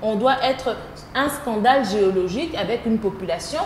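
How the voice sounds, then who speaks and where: A woman's voice: fairly high pitch, normal speed, darkish tone. A woman with a Congolese French accent, answering as an interview guest and repeating phrases for emphasis. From Democratic Republic of the Congo. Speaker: interview guest, social entrepreneur. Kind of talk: conversational interview. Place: indoors at own premises. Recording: roomy echo with background noise.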